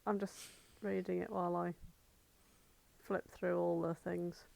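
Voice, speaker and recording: A woman's voice: lowish voice, level tone, a little slow, creaky, a little mellow, no room sound. A woman co-hosting a casual comedic podcast, chatting in an irreverent, sweary, bantering way. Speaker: podcast co-host.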